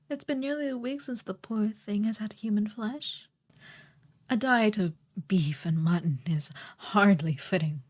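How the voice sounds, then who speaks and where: Evil sorceress queen, played by a woman: lowish, mellow and breathy, unhurried, haughty and ruthless, for fantasy ASMR roleplay. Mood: commanding, cruel. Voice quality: soft, breathy, partly whispered. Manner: slow, condescending, commanding.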